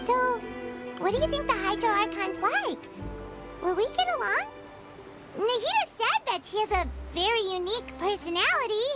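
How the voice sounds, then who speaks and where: A woman's voice: very high-pitched, sing-song, moderate pace. A woman voicing a tiny, curious, chirpy fairy companion girl in a video game. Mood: curious, eager. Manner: speaks of herself in third person, exclaiming. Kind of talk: scripted video game dialogue. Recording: loud background music.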